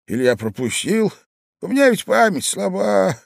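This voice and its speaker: A man voicing a forgetful old man from a storybook, rambling in a drawn-out, singsong way with a coughing, quavering voice. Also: sits high, big pitch swings, gravelly, dry recording, studio quality, pitched above the performer's own voice, exaggerated voice.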